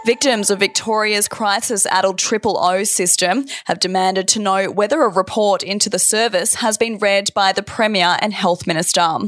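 A woman's voice: mid-range voice, fairly bright tone, no room sound, clean studio sound. An Australian woman presenting scripted news headlines as a newsreader.

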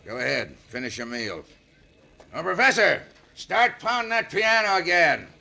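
Gravelly western outlaw boss. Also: male voice, fairly high pitch, sing-song, normal speed, pushed and bright, heavily acted. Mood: controlled. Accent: American. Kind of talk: 1950s radio western acting. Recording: old radio recording with music behind.

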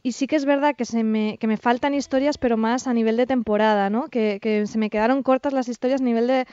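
A woman with a Spain accent reviews as a panelist in conversation. Her voice is smooth and fairly high, on a dry, slightly muffled recording. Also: steady tone, fast, warm tone, no echo. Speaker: podcast panelist.

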